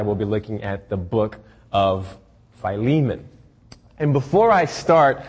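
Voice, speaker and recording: A young man from Haiti, a church intern, giving announcements and a sermon in an earnest, measured way with frequent ums. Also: mid-range voice, a little mellow, slightly rough.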